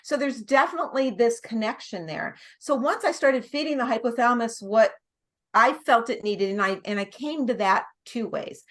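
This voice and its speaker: A woman's voice: a little high, normal speed. A middle-aged American woman, a nurse practitioner as a guest, explaining at length in casual conversation, with many restarts and small laughs. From United States.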